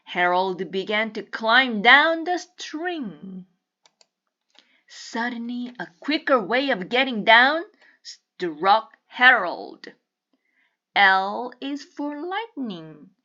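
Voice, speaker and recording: A woman reading a children's picture book aloud with deliberate, teacherly enunciation. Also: mid-range voice, pushed and bright, lo-fi sound. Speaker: storybook reader.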